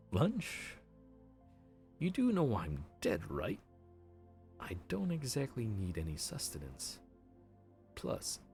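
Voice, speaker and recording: A man's voice: lowish voice, gravelly, a little mellow, exaggerated voice. A man voicing a friendly, slightly flustered grim reaper supervisor who talks in an awkward, bantering way. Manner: chatty, bantering and a bit awkward. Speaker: voice actor. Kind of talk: audio roleplay acting.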